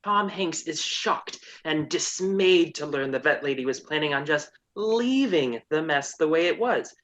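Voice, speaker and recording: A woman's voice: sits low, expressive, measured pace, fairly bright tone. A woman narrating a scripted comedy with wry sass. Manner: wry, sassy.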